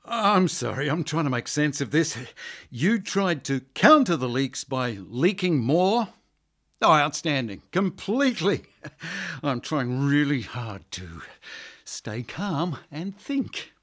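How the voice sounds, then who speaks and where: Exasperated party official, played by a man: tense, lively delivery, biting sarcasm. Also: on the high side, slow, heavily acted. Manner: dry sarcasm, strained calm.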